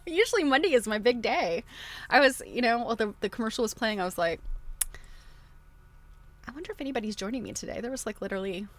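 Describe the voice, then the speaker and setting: A woman's voice: a little high, lively intonation, fairly quick, pauses often. A woman hosting a casual livestream, chatting to viewers in a rambling way as she thinks aloud. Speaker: livestream host.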